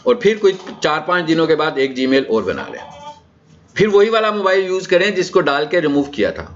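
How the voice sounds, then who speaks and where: Man, Pakistani accent, slightly high voice, informal trainer's lecture, conversational, explanatory, muffled lo-fi recording. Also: fairly bright tone. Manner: explanatory, conversational, rhetorical questions and check-ins. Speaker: digital marketing trainer. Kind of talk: informal lecture in an orientation session. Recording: dull, lo-fi session audio.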